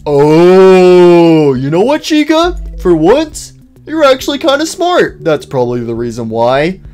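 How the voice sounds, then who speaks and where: A man's voice: on the high side, animated, unhurried, dark tone. A man plays a flustered animatronic bear in a cartoon comedy. He is whiny and naive, stammering through his defensive pleas. Music plays behind him. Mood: agreeable. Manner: stammering, pleading. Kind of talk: improvised comedic character roleplay.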